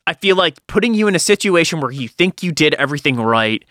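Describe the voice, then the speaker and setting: Bright, higher-pitched man in casual chat. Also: very animated, fast talker, dry recording, studio quality. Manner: enthusiastic, emphatic, sweary. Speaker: podcast co-host.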